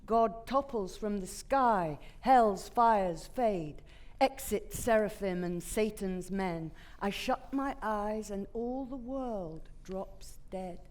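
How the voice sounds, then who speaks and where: A woman giving a deliberate, dramatic reading of a poem on a theatre stage. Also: on the high side. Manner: deliberate, measured. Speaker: actor reading poetry.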